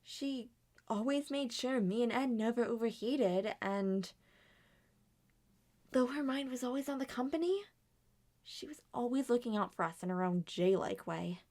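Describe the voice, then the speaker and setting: Young animated murder mystery character, played by a woman: higher voice, earnest, anxious, theatrical and deliberate. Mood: earnest, defensive. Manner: theatrical, deliberate acted dialogue. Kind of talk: scripted ASMR roleplay, character voices.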